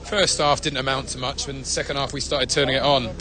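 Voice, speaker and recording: A man's voice: medium pitch, level tone, brisk. A man, a football fan, chatting in a friendly, rambling way about the match outside the stadium. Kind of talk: casual post-match chat. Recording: crowd noise outdoors. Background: crowd of fans.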